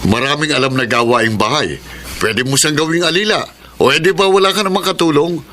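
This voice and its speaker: A man's voice: a little high, fairly quick, sharp tone. An actor playing a wheedling, debt-ridden father in a Filipino radio melodrama, blustering with excuses in a Filipino accent. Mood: sly, wheedling. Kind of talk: melodramatic radio drama acting. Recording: noisy radio drama ambience.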